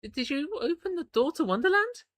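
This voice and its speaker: A woman voicing a frightened adult friend who stammers with a trembling, crying voice, pitched high. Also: expressive, normal speed, sharp tone, dry recording. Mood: pleading.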